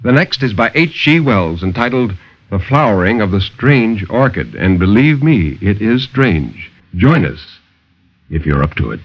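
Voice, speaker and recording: A man's voice: on the low side, very animated, unhurried, slight background noise. A man narrating as a storyteller in dramatic 1950s radio horror style. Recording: muffled 1950s radio broadcast.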